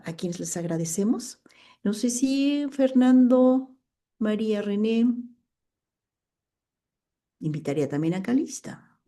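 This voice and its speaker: Mexican woman moderator, warm dark tone, reads audience comments, hesitant fillers. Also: medium pitch. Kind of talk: moderating an online book launch.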